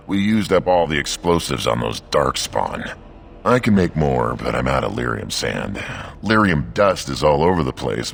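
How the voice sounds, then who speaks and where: Very deep, gravelly mad dwarf. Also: male voice, a bit bright, slight background noise, heavily acted. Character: mad, gruff dwarven explosives tinkerer, proud and gleeful. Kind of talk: fantasy video game acting.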